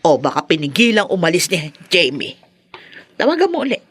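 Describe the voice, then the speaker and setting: A woman's voice: fairly low pitch, sing-song, a little fast, a bit bright, slightly rough, full character voice. An actress playing a possessive, manipulative mother of grown sons, nagging and imperious, with a Filipino accent in a radio drama. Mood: indignant, bossy.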